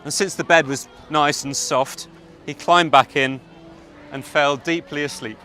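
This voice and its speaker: A man narrating a fairytale live to children, theatrical and deliberate, with dramatic pauses. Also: on the high side, steady tone, pushed and bright. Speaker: storyteller narrator. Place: outdoor public event.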